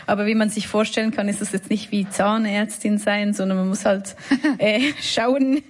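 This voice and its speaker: A woman's voice: medium pitch, steady tone, normal speed. A woman with a Swiss German accent, a musician talking in a radio studio interview in a reflective, self-deprecating way, laughing between words. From Switzerland. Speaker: musician guest. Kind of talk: casual interview talk.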